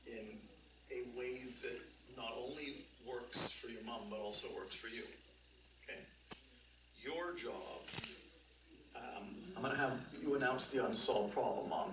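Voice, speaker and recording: A man's voice: lowish voice, far from the mic, very noisy. A man patiently explaining the steps of a problem-solving talk to a teenager and his mother, recorded as video footage. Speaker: psychologist leading a demonstration session. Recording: roomy video played back from afar.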